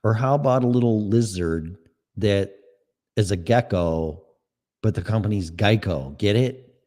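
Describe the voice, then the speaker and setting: A man's voice: on the low side, a little slow, dark tone. A man with a Chicago accent hosting a solo podcast, ranting in a blunt, sarcastic and profane way. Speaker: podcast host.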